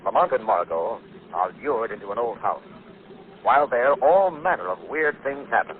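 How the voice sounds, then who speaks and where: A man's voice: medium pitch, animated, moderate pace. A man narrating a scripted recap of a mystery story. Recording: tinny, noisy old radio recording.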